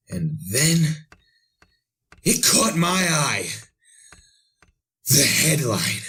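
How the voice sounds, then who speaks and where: Radio horror casting: gloating, half-mad doomed narrator, slow and gravelly, halting and theatrical, repeating himself. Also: male voice, medium pitch, exaggerated voice. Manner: halting, repetitive, theatrical delivery with self-questioning. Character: half-mad man narrating his doom, gloating and chuckling. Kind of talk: 1930s radio horror drama acting.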